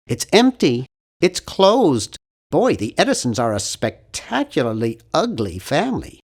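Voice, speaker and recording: A man's voice: fairly high pitch, exaggerated voice. An actor playing a nerdy, earnest, awkward young man in an adventure game, with a geeky, fussy, wryly polite delivery. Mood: dry, self-conscious. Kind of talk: scripted video game voice lines.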